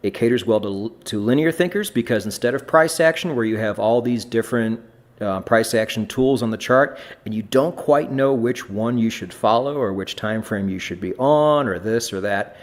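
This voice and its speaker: A man hosting a trading podcast, talking in a blunt, emphatic way with casual swearing. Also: lowish voice. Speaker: podcast host.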